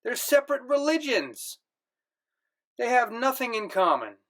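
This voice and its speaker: A man's voice: very high-pitched, sing-song, measured pace, a bit bright, voice pushed up, full character voice. Man reading as a brusque, puzzled father. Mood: dismissive. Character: stern bewildered middle-aged Indian father.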